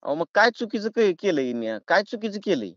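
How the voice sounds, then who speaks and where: A man's voice: high-pitched, big pitch swings, a little fast, no room sound. A man with a rural Maharashtrian accent talking in a pushy, folksy, argumentative way on a prank phone call. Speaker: prank caller. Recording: dull, lo-fi phone call recording.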